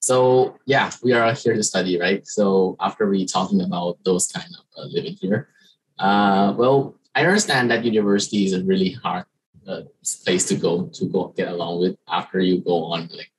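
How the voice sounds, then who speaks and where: A young man from Thailand, a Thai native speaker, giving a slide presentation as an international student on a video call, halting with many fillers and restarts. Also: low-pitched, steady tone, rough recording. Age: young adult. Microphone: video call webcam.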